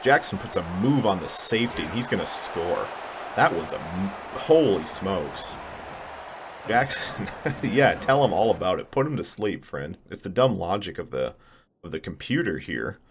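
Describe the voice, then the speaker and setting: A man's voice: deep voice, big pitch swings, normal speed, background noise. A man giving casual, mumbly, self-deprecating commentary while playing a video game. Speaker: gaming channel host. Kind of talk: casual let's-play commentary.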